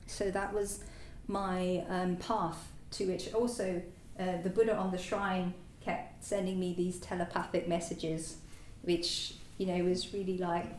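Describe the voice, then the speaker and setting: A woman whose first language is French gives a halting personal talk as a new Buddhist order member, full of fillers and restarts. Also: fairly low pitch, little pitch movement, a little mellow. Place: meditation centre hall. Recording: echoey hall, distant mic, faint noise.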